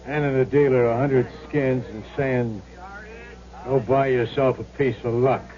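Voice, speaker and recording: A man's voice: medium pitch, flat delivery, measured pace, close to an everyday voice. A man playing a blunt, terse casino boss who speaks in clipped questions, in an old-time radio drama. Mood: probing. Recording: dull 1970s radio recording.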